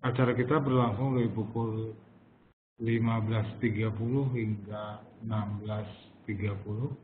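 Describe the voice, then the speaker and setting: Man, slight cough, halting fillers, faint hiss behind, lo-fi webinar audio. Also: lowish voice, little pitch movement. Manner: slow, halting, many pauses and fillers. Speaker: webinar host and forex educator. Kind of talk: live online trading webinar. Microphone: computer mic over webinar. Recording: tinny phone-like webinar audio.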